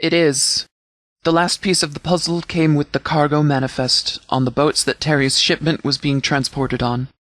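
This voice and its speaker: Male actor as stern militia sheriff, video game dialogue, measured delivery, fairly high male pitch, dry close sound. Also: little pitch movement, fairly bright tone, dry recording, lightly acted. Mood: measured, formal. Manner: measured, formal, authoritative. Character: stern, formal sheriff commanding a post-apocalyptic settlement militia. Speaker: sheriff giving briefings.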